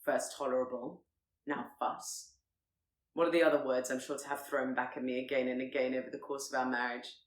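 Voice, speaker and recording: A woman voicing a proud, reserved gentleman in a period romance audiobook, speaking formally and with restraint. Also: extremely low pitch, level tone, a little fast, roomy sound, voice pushed down, rough recording. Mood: wry, hurt. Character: proud, stiff, reserved gentleman, earnestly courting his betrothed.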